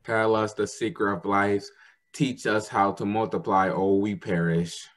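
A man playing a grave robot who speaks in a monotone in an old-time radio drama. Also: on the low side, unhurried, close to an everyday voice. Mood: grave demanding. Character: grave male robot pleading for survival. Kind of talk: 1930s radio drama acting.

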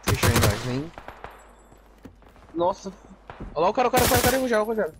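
A man narrating a shooter match to his squad, his pitch swinging wildly as he gets excited. He teases his friends and yells callouts over a noisy bed of gunfire and game sound. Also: fast. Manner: excitable, teasing, shouts at teammates. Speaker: streamer in squad. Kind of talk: casual gaming chat over voice chat.

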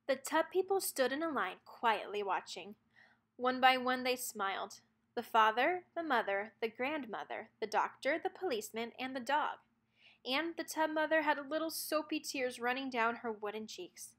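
A woman narrating a children's storybook aloud in an expressive read-aloud style. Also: sits high, normal speed.

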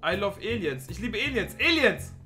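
A man in his twenties hosting a gaming video with friends, loud, teasing and slangy, prone to outbursts. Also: high-pitched, big pitch swings, normal speed, faint music behind. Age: 20s. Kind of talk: excited Fortnite commentary with friends. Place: small room at home.

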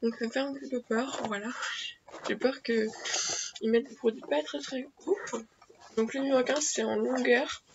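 A woman's voice: high-pitched, fairly even intonation, normal speed, pauses often. A teenage girl chatting casually in her small attic bedroom, full of fillers and restarts, recorded on a built-in webcam mic. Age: teens. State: stuffy nose from a cold. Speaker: beauty vlogger. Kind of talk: casual daily unboxing vlog. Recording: dull lo-fi webcam audio with faint noise.

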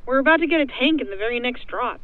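A woman's voice: on the high side, expressive, quick pace, dry recording, phone-quality sound, lightly acted. A woman voicing an exasperated male soldier griping casually at a partner in a fan parody cartoon dub.

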